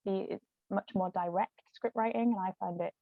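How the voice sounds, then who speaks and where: A woman's voice: mid-range voice, steady tone, brisk. A woman chatting in a rambling way as a guest in a casual discussion. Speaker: guest author and actress. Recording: muffled lo-fi phone-like audio, roomy echo.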